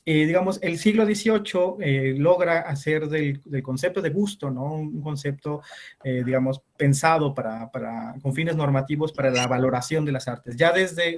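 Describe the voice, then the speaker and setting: Hesitant man answering academic questions. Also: a little high. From Latin America. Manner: hesitant, many restarts and fillers. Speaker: conference speaker.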